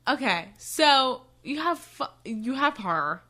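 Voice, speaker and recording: A woman's voice: high-pitched, very animated, takes it slowly, bright, forceful tone. A woman co-hosting a podcast in casual book-discussion banter, with a profane, exasperated way of talking. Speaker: podcast co-host.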